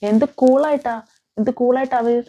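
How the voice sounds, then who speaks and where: A woman's voice: high voice, fairly quick, dark tone, dull audio. A woman giving emphatic, opinionated commentary on crime as a vlog host, repeating phrases and posing rhetorical questions. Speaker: vlogger, host.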